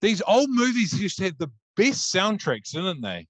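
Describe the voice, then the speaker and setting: A man's voice: high voice, sing-song, normal speed, no echo. Crude, joking podcast host man. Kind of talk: casual podcast chat.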